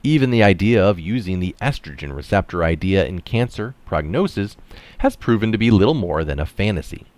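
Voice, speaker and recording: A man's voice: low voice, big pitch swings, a little fast, darkish tone, no room sound. A man reading an article aloud, stressing phrases and adding casual asides of his own. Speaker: article reader and commentator.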